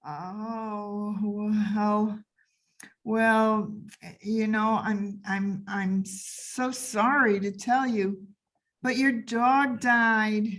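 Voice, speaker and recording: A woman's voice: medium pitch, steady tone, extremely slow, a bit bright. A woman playing an eager, flustered house sitter in an old-time radio comedy. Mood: flustered, apologetic.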